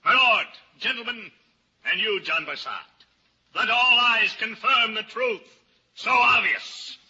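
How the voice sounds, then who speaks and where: An actor playing a cunning, pompous defence barrister declaiming in a vintage radio drama. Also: male voice, high-pitched, slow. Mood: triumphant, thundering. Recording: dull, noisy old-time radio recording.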